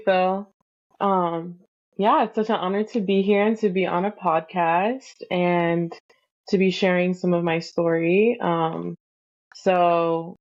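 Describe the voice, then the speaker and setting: A young woman from California, a podcast guest earnestly telling her spiritual story with many soft ums and pauses. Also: on the low side, lo-fi sound. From Fresno, California. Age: young adult. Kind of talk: reflective conversational storytelling.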